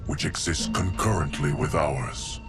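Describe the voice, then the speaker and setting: An ancient, wise immortal in a game cutscene. He speaks gravely and deliberately in a very deep voice, with loud music behind him. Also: animated. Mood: grave, certain. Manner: deliberate, formal, scholarly. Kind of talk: video game cutscene acting.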